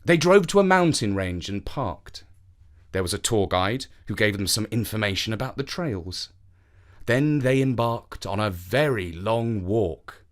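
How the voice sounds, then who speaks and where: Man reading a story with lively pitch. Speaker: story narrator. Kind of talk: scripted storybook narration.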